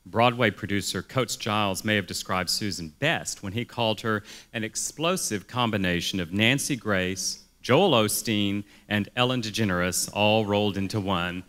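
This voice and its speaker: Bright-voiced man introducing a speaker. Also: on the low side, lively intonation, a little slow. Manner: upbeat, reading a prepared introduction. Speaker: event emcee introducing the speaker. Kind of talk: formal introduction.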